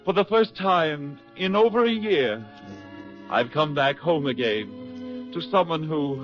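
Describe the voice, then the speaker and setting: A gentle, haunted man in a 1940s radio drama. He speaks haltingly and earnestly, with intonation that moves widely. The old recording is dull, with faint music behind. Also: a little high, animated. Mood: earnest, relieved. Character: haunted, gentle man recovering from a broken mind.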